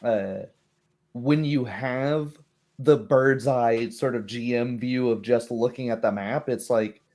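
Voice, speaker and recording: A man's voice: medium pitch, steady tone, slow pace, a little mellow. A man in a casual chat, talking as the game master of a tabletop group.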